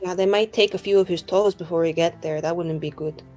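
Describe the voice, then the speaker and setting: A woman's voice: fairly low pitch, steady tone, a little fast, a little mellow, soft background music, natural voice. Woman playing a rambling, chatty young adventurer. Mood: curious, casual. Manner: rambling, casual, filler-heavy valley-girl phrasing. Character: chatty, naive, friendly young female adventurer. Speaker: player in a tabletop game. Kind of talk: improvised tabletop roleplay dialogue.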